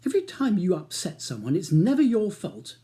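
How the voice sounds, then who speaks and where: A man reading a novel, voicing an exasperated, sharp-tongued upper-class English wife with a clipped, argumentative manner. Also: sits high, big pitch swings, fairly quick, pitched above the performer's own voice. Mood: angry, exasperated.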